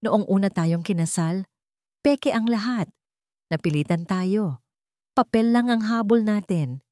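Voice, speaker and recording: A woman's voice: mid-range voice, a little slow, mellow, no room sound, studio quality, lightly acted. A woman storyteller voicing an earnest, humble young man who proposes tenderly in short, solemn phrases.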